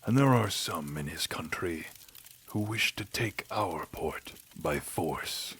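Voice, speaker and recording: A man voicing an aging, weary, solemn king in an audio drama, husky and breathy, dropping to a half-whisper as phrases end. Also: low-pitched, lively intonation, fairly bright tone, slight background noise, exaggerated voice. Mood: grave. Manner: slow, grave, deliberate. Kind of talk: audio drama character acting.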